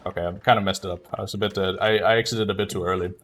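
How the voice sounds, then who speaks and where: A man's voice: low-pitched, fairly even intonation, rapid-fire, a bit bright. A man giving casual, self-critical commentary while streaming a video game speedrun.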